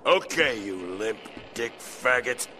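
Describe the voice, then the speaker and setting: An actor playing a brutal, sneering gang thug in a video game, on noisy, grainy audio. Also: male voice, mid-range voice, expressive, takes it slowly. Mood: menacing.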